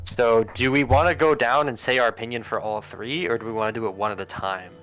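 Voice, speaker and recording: A man's voice: medium pitch, steady tone, fast talker, fairly bright tone, soft background music, low-quality recording. A man chatting casually with a group as a player tallying votes in a game. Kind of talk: casual group banter while gaming.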